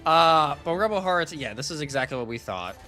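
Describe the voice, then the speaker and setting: A man giving conversational, explanatory analysis as a live esports shoutcaster. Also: a little high, lively intonation, brisk. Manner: casual, explanatory. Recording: music behind the voice. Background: music.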